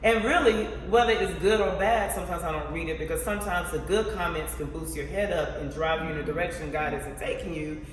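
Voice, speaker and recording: A male interviewer talks in a reflective, faith-minded way and winds into long questions. His voice is a little high for a man. The sound comes from far off in a very echoey hall with a long reverb. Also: steady tone, fairly quick, hardly any pauses, a little hiss or hum. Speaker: interviewer host. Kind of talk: casual interview chat.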